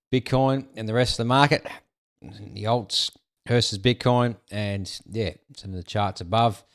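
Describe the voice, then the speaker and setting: A young Australian man in his twenties casually talking through market charts, rambling with drawn-out fillers and a frequent "okay". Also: mid-range voice. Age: 20s. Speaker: crypto video host.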